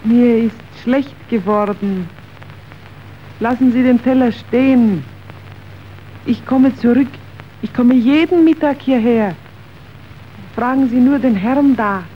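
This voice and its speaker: Starving poor wife, resigned, very slow, halting, subdued, muffled radio-play recording. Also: female voice, fairly high pitch. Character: hungry, destitute wife, resigned and shamefaced. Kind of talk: radio drama dialogue. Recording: dull, noisy old radio recording.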